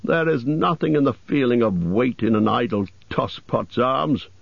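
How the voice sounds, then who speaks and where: A man's voice: medium pitch, expressive, unhurried, gravelly, no echo, muffled recording. A man voicing a grumpy, bossy old male elf butler in an audiobook, grumbling and growling. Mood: bossy, gruff. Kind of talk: audiobook character voice.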